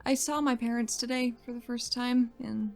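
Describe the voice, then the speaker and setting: Woman as uneasy heroine with creaky voice. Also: on the high side, steady tone, moderate pace, a little mellow, soft background music. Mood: confused, uneasy. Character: guarded, uneasy woman returning to active duty.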